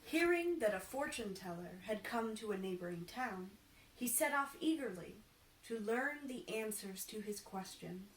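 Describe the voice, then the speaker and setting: A woman storyteller reading a children's picture book aloud with careful, deliberate narration. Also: mid-range voice, expressive, slight background noise. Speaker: storyteller in princess character. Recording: roomy echo, distant microphone.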